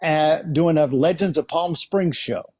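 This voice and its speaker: A mature man talks casually as a guest on a show. His voice sits a little higher than most men's, in an easy conversational style. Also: unhurried, darkish tone. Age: middle-aged or older. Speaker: guest, celebrity impersonator. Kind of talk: casual chat.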